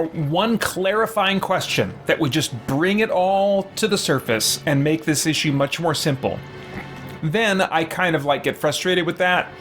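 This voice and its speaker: A man's voice: a little high, fairly bright tone. A man hosting a gaming series, giving reflective, conversational commentary as he thinks aloud. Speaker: host of a roleplay gaming series.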